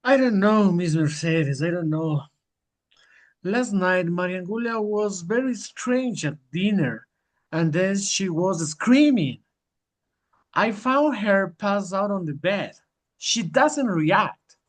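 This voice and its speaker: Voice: mid-range voice, animated, takes it slowly. A performer playing a bewildered, plaintive and demanding husband in a dramatized folk tale. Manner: baffled, plaintive.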